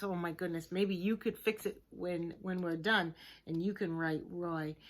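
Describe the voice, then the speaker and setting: Woman, children's teacher, bright clear-cut tone, unhurried pace, simple encouraging wording, deliberate emphasis. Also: mid-range voice. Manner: gentle, simple, encouraging address to young children. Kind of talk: children's lesson to camera.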